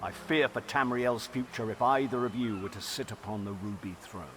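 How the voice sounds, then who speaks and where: An actor playing a proud, suspicious human king in a fantasy game, speaking in a measured, regal and disdainful manner. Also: lowish voice, fairly even intonation, a bit bright, a little hiss or hum, natural voice. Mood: scornful. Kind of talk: fantasy game dialogue acting.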